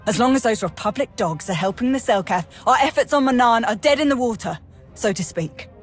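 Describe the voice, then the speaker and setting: An actress playing a brash, wry military major in a video game, blunt and breezy. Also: female voice, fairly high pitch, animated, a little fast, faint music behind. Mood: irritated, brash.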